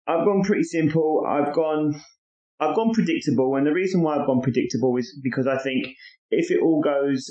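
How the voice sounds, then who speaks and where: A man's voice: mid-range voice, darkish tone. A man co-hosting a podcast, chatting casually and confidently with fillers. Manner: confident, lists his picks with many fillers. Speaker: podcast co-host. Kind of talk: casual chat. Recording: lo-fi echoey room.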